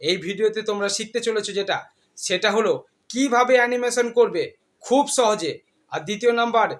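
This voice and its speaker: A man's voice: high voice, fairly bright tone. A man hosting a casual step-by-step tutorial, instructing his viewers like friends. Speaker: tutorial host.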